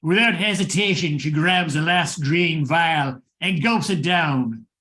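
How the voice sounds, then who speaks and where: A man narrates a radio thriller as its host. His voice is bright, forceful and a little high. He reads the script slowly, with theatrical drama.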